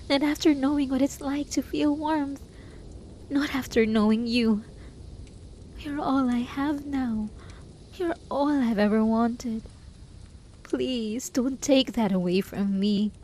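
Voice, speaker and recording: A lovesick, possessive hermit voiced by a woman pleads in a highish voice, intimate and breathy. It is an ASMR roleplay drama, desperate and clingy, with some background noise on the recording. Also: a little mellow, close to an everyday voice, rough recording. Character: lonely, obsessive, lovesick hermit begging a traveler to stay.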